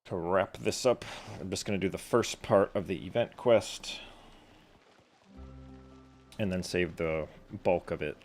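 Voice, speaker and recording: A man's voice: sits low, unhurried, pauses often, soft background music. A man doing casual live game commentary as a streamer, rambling and offhand.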